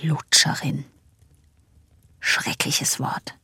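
An actress playing an observant, resentful young woman in radio drama inner monologue, with a creaky, hushed voice. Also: drawn-out words, in a whisper. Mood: whispered resentment.

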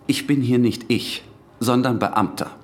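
Man acting menacing officious policeman, medium-pitched voice, curt, bureaucratic, interrogating, radio play. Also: unhurried, natural voice. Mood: suspicious, officious. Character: brusque, menacing, officious male Nazi-era police official. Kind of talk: German radio drama acting.

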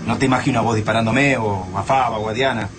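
A man's voice: on the low side, steady tone, fast, background noise, close to an everyday voice. An actor playing an anxious man on the run in a radio drama, uneasy and urgent, with an Argentine accent. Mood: anxious, brooding. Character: Paco, anxious man fleeing through a deserted city.

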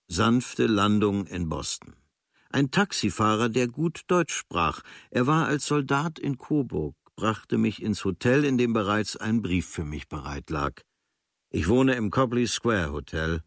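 Low-voiced man reading a literary diary, dry studio. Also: expressive, a little slow, dry recording. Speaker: narrator reading a travel diary. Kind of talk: scripted literary narration for a radio play.

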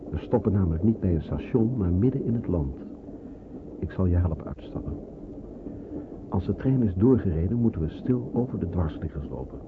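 A cautious, authoritative resistance guide gives instructions in a very deep voice. He is measured, protective and reassuring. The old radio drama recording is badly muffled and clearly noisy.

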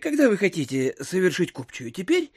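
Fussy genial official, high husky voice. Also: male voice, wildly swooping pitch, brisk, heavily acted. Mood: obliging, bustling. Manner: affable, eager, exclamatory. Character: genial, obliging, fussy male provincial court chairman. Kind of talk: theatrical audio drama acting.

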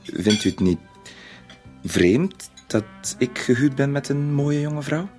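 An actor playing a timid, naive bank bookkeeper in a radio play, speaking politely and hesitantly with a Flemish accent. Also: male voice, medium pitch, expressive, slow, darkish tone, faint music behind. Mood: shy, modest. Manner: hesitant, polite, self-effacing. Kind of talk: radio drama acting.

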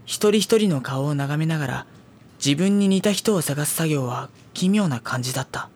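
A reserved, sardonic teenage schoolboy. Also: male voice, a little high, animated, normal speed, slightly rough. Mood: pensive, uneasy. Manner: polite, dry, exasperated. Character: reserved, sardonic high school boy, first-person narrator. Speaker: actor. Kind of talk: audio drama narration and dialogue.

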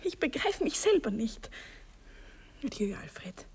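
A young married lady in a radio drama, coy and anxious. Her soft voice is breathy and husky, with a fretful, protesting manner. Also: a little high, sing-song, slow pace, in a whisper, exaggerated voice. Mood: remorseful. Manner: fretful, protesting, formally addressing. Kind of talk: radio play acting.

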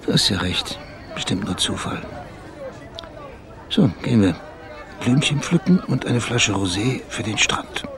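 A man's voice: lowish voice, animated, unhurried, long pauses, a crowd in the background. An actor playing a persistent, brooding former policeman in a radio drama, speaking tersely and matter-of-factly.

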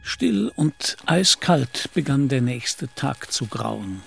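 A man's voice: medium pitch, big pitch swings, takes it slowly, gravelly. A man narrating a German radio play in a scripted, literary storytelling style. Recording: music behind.

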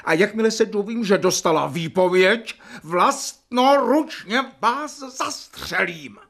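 A man's voice: high-pitched, expressive, creaky, fairly bright tone, heavily acted. A man playing a stern, protective doctor in a radio play, resolute and commanding. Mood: menacing. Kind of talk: Czech radio play acting.

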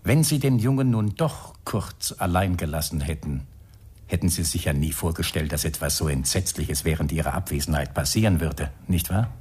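A sardonic, grumbling police inspector in a radio crime drama. His low, husky voice moves widely in pitch. He speaks with measured insistence, like someone weary of the job and determined to get answers. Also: expressive, darkish tone, full character voice. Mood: probing, insistent.

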